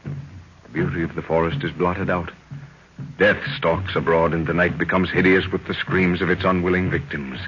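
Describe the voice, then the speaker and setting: Ominous jungle serial narrator, very low voice, dramatic, 1930s radio, muffled recording. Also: male voice, little pitch movement, moderate pace, fairly bright tone, slight background noise. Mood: grave, portentous. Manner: portentous, florid.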